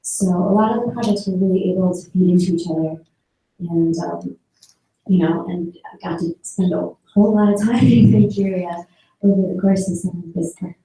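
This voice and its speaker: A woman photographer tells stories from her travels in a relaxed, easygoing way. Her voice is very dark and mellow, sitting a bit low. The sound is distant, in a strongly echoing hall. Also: normal speed. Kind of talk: personal talk about her work. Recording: echoey hall, heard from a distance.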